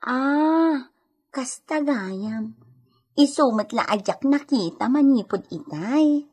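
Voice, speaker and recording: Woman acting female helper, radio drama, high voice, slow pace, caring, coaxing, eager. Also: expressive, a little mellow, breathy edge, heavily acted. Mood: friendly. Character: Edita, caring chatty female helper tending a sick lady. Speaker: voice actor.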